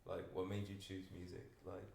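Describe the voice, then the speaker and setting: A young male podcast host with a low, deep voice talks in a laid-back, rambling way. His delivery stays flat, with little rise and fall. The room sounds echoey, with faint noise behind him. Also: normal speed, gravelly. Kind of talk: casual podcast chat.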